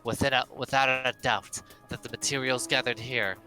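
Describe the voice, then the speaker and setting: Man putting on a pompous, forceful young heir. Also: medium pitch, steady tone, moderate pace, faint music behind, close to an everyday voice. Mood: self-satisfied. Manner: pompous, declaiming. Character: haughty, pompous young heir relishing a murder mystery.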